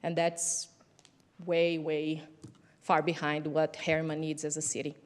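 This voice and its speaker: A woman with a Brazilian accent speaks at a public meeting in a fairly low voice. She is a native Portuguese speaker who teaches English, and she makes her points haltingly, reworking phrases as she goes. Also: monotone. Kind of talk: public comment speech at a council meeting.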